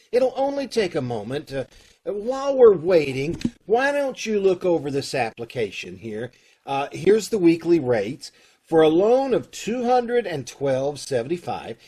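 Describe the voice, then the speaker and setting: A man's voice: high-pitched, a little mellow, breathy edge, exaggerated voice. A man playing a fussy, salesman-like bank loan officer in an old-time radio comedy.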